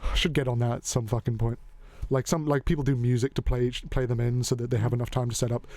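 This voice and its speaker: A man's voice: mid-range voice, level tone, fast talker, darkish tone, no echo. A man hosting a casual talk show, rambling and joking loosely and cursing now and then. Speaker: podcast host. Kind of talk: casual chat.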